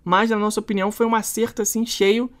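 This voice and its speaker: Woman, podcast co-host, lively slangy film talk, middle pitch. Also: fast. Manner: animated, slangy, emphatic. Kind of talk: casual film review chat.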